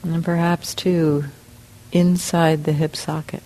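A woman leads a guided meditation, speaking slowly in short phrases with long pauses. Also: low-pitched, level tone, dark tone, rough recording. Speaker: meditation teacher. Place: meditation center hall.

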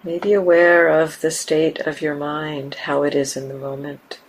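A woman teaching a guided meditation in a gentle, unhurried manner, leaving long pauses between short phrases. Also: low voice, rough recording. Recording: steady background hiss and room ambience.

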